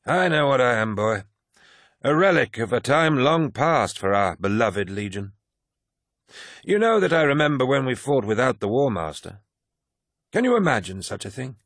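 Man playing an old male veteran, rambling, patronizing, husky, dry close sound. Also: medium pitch, big pitch swings, moderate pace, fairly bright tone, no room sound, pitched above the performer's own voice. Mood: folksy lecturing. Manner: folksy, lecturing, calls listener boy.

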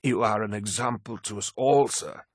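Guy doing a raspy servile aide for an audiobook, pitched up, swoopy, devoted and fervent, very dry recording. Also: lively intonation, moderate pace, sharp tone, dry recording, exaggerated voice. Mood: adoring. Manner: deferential, fervent, formal address. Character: devoted frail male equerry, reverent and servile.

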